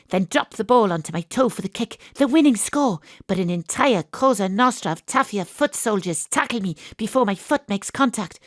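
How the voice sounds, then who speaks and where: An actress playing a wry, world-weary private detective, deadpan and confiding, in noir audio drama style. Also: female voice, medium pitch, no echo, studio quality, close to an everyday voice. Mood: excited, dramatic. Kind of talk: noir detective narration and dialogue.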